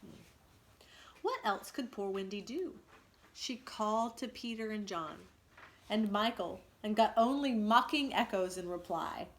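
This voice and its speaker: A woman teacher reading a story aloud expressively to students, pausing to ask them questions. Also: mid-range voice, lively intonation, slight background noise.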